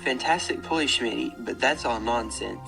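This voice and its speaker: A man's voice: medium pitch, normal speed, vocal fry, bright, forceful tone, soft background music. Man playing a sarcastic, weary cellmate. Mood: dismissive disbelief. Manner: sarcastic, dismissive. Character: skeptical, weary male cellmate, dismissive. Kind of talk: comic audio drama acting.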